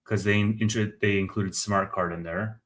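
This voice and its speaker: A man with a fairly low voice and a very bright, forward tone. He hosts a live financial stream in a conversational way, thinking aloud as he goes through the numbers. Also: fairly even intonation, normal speed. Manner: conversational, thinking aloud while reading figures. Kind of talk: casual live earnings commentary.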